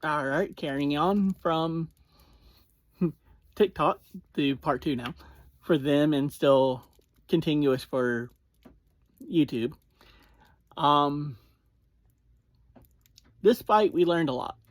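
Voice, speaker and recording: An online reviewer giving a casual episode recap in short, halting phrases with pauses between them. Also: medium pitch, no echo.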